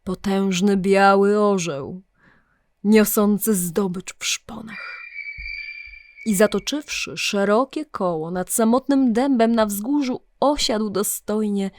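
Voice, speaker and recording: Slow, solemn woman storyteller. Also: medium pitch, darkish tone, no room sound, clean studio sound. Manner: solemn, deliberate, dramatic storytelling. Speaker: narrator. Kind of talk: scripted storytelling narration of a legend in archaic language.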